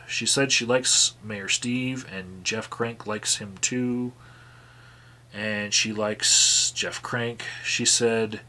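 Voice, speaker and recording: A man with a lowish, bright and forceful voice reads records aloud in a plain tone. He stops often to sigh and comment in halting, restarting sentences. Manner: sighing, halting restarts between read passages. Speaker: activist vlogger reading out call logs.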